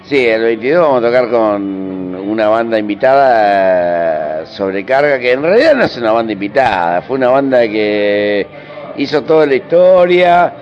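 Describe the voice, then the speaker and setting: A man's voice: on the low side, expressive, moderate pace. An Argentine man giving an informal interview as a musician, speaking in slangy, colloquial phrases and repeating himself for emphasis. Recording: dull radio interview over music.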